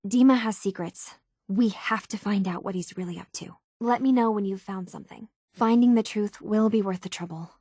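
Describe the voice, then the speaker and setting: A woman's voice: medium pitch, normal speed, dark tone, dry recording, clean studio sound, close to an everyday voice. An actress playing an earnest, anxious and curious young runaway woman, speaking hesitant, thoughtful dialogue for a video game. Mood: suspicious, troubled.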